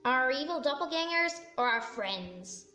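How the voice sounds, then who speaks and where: A woman's voice: sits high, lively intonation, measured pace. A woman voicing a brash, hot-tempered, sarcastic female pony in a fan fiction audio drama. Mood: reproachful. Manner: sarcastic, accusatory. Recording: roomy echo under loud music.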